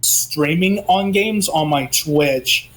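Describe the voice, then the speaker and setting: A man with a fairly high voice chats about gaming news at a slow, unhurried pace. His voice is worn from talking all day, and he pauses often with ums. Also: a bit bright, rough recording. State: losing his voice. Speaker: co-host, gaming news presenter. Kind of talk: casual chat.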